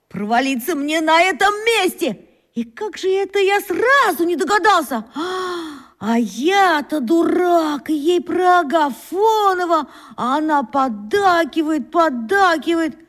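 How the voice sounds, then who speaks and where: A woman playing an excitable, suspicious schoolboy detective in a children's radio play, exclaiming and thinking aloud dramatically. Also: very high voice, exaggerated voice.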